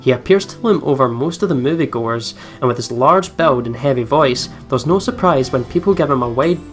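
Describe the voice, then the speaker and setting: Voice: mid-range voice, lively intonation, a little fast. A let's play host reading game text aloud with casual commentary, over game music.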